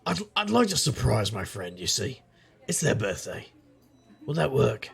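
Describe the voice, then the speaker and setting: An actor playing a world-weary, rueful magical investigator, narrating a noir audio drama in a gravelly, husky voice with wry delivery. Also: male voice, medium pitch, sing-song, unhurried, a bit bright, exaggerated voice.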